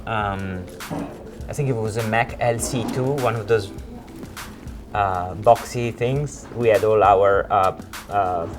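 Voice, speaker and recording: A man in his forties from Italy with an Italian accent, a creative director recounting his career in an informal interview in hesitant, halting phrases. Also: on the low side, fairly even intonation, over music. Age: 40s. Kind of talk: informal interview, telling own career story.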